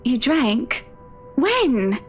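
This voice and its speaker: A woman's voice: sits high, sing-song, drawn-out words, faint music behind, exaggerated voice. An actress playing an indignant wife in a visual novel game, scolding and pointed as she stands up to her husband. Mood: alarmed, scolding.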